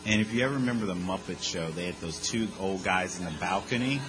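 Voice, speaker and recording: A man telling a humorous personal story to a group in a lively, conversational way, with quick asides. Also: sits low, background noise. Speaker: speaker sharing his recovery story.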